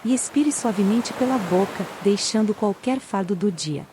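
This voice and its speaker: A Brazilian woman narrating a guided meditation in a calm, soothing way with long pauses. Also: mid-range voice, noisy background. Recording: ocean waves behind the voice. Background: sound of sea waves.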